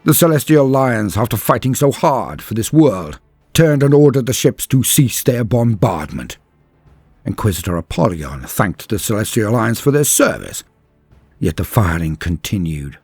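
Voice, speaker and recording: A man with a fairly low, husky voice delivers scripted, dramatic lore narration. His delivery is grave and measured, in the formal wording of a storyteller, with faint music playing behind him. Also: a little mellow, no room sound. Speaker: narrator of a science fiction lore channel. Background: background music.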